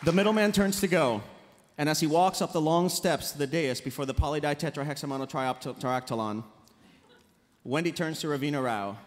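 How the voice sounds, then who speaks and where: A man's voice: fairly high pitch, fairly quick, a faint crowd. A man reading dramatic stage directions aloud at a live table read in a convention hall. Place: large indoor convention panel room. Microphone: table microphone. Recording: noisy echoey convention hall panel.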